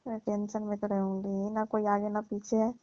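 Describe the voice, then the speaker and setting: A woman's voice: medium pitch, completely flat delivery, moderate pace, dark tone, no room sound, soft background music, lightly acted. A woman playing a needy, wary middle-aged divorced mother in a casual phone conversation, talking hesitantly and colloquially with a complaining note. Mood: weary. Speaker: actor in a staged phone call skit. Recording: muffled lo-fi phone call recording.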